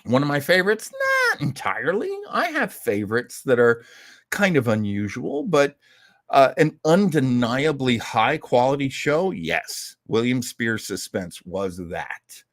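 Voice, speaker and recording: Animated male host, chatty and dry-sounding. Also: mid-range voice, a little slow, no room sound. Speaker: host of an online old-time radio show. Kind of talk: casual rambling livestream chat.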